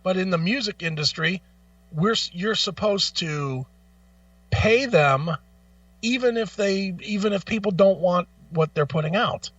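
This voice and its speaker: A man's voice: sits high, a little slow, fairly bright tone, no room sound, recorded from a distance, lo-fi sound. A man hosting a talk show in an opinionated rant, blunt, profane and argumentative, repeating phrases for emphasis. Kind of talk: opinionated music talk, ranting.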